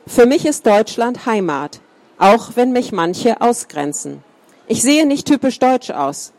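A woman reading a first-person account aloud in a calm, measured way. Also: a little high, no echo, rough recording. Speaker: reader.

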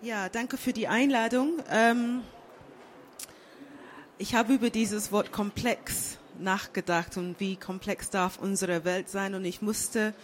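A woman born and raised in London, speaking as a guest on a panel, thoughtful and pausing often with fillers. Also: mid-range voice, steady tone, a little hiss or hum. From England. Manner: thoughtful, many fillers and restarts. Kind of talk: panel discussion.

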